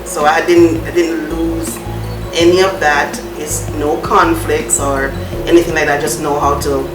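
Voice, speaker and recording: A Jamaican-accented woman with a low voice speaks haltingly as a guest, pausing on fillers. She is recorded from a distance in an echoing room, with music underneath. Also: flat delivery. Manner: hesitant, many fillers. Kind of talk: casual conversation.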